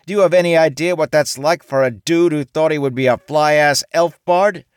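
A man voicing a brash female orc who used to be a man, loud and bossy. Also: medium pitch, sing-song, slow pace, no room sound, studio quality, pitched above the performer's own voice. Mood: smug.

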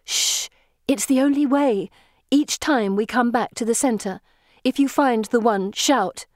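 Woman acting a high, urgent young heroine. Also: unhurried, a little mellow, no echo, clean studio sound, close to an everyday voice. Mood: anxious resolve. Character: desperate, decisive teenage heroine leading her friends.

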